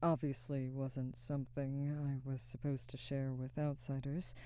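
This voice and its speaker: A young woman playing a guarded, burdened werewolf pack alpha in a soft, hesitant, intimate ASMR roleplay close to the microphone. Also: rumbling low, level tone. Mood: softening, grateful. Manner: hesitant, soft-spoken, halting, breathy sighs. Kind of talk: ASMR audio roleplay, intimate scripted monologue. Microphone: close microphone, dry.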